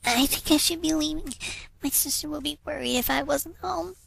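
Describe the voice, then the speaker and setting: A man's voice: very high voice, normal speed, vocal fry, a bit bright, voice pushed up, exaggerated voice. A man voicing a frightened, polite female pony princess in an uneasy manner. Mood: nervous.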